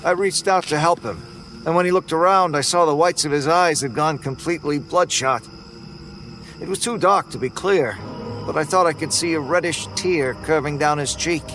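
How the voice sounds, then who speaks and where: A man telling a first-person horror story in a tense, measured way. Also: on the high side, a bit bright, faint music behind, poor audio. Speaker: narrator.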